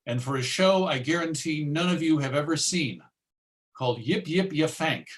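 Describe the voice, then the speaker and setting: American guy doing an informal history talk, slightly high voice, chatty but stresses his points. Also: moderate pace. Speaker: lecturer, presenter.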